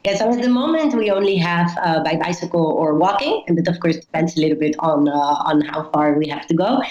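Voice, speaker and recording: Woman, Dutch accent, native Dutch speaker, podcast guest, conversational, fillers, somewhat low voice, echoey room, muffled lo-fi audio. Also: expressive, brisk, a little mellow. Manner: thoughtful, frequent "like" fillers. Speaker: tour company founder, guest. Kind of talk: conversational interview. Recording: echoey room, dull lo-fi sound.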